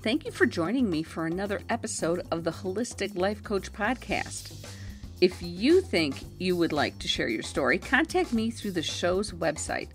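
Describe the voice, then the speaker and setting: A woman hosting a podcast, reading a cheerful introduction and interviewing her guest. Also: on the low side. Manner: cheerful, laughs often. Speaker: podcast host.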